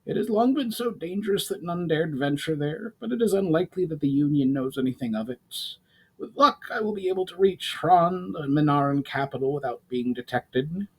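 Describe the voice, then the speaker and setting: An earnest dwarf diplomat from a storybook, put on by a man reading aloud. He speaks formally and with measure, in a raised, varied pitch suited to courtly counsel. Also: lively intonation, moderate pace, slightly rough, low-quality recording. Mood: earnest, explaining.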